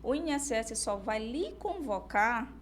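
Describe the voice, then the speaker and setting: Higher-voiced Brazilian woman explaining informally. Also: expressive, measured pace, a crowd in the background. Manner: explains through hypothetical examples and imagined client dialogue. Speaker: lawyer, expert guest. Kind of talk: informal explanatory podcast discussion.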